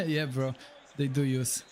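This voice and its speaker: A man's voice: medium pitch, level tone, slow pace. A man with a Polish accent streaming a football game, reacting to the match and chatting with viewers. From Poland. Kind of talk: live gameplay commentary and chat.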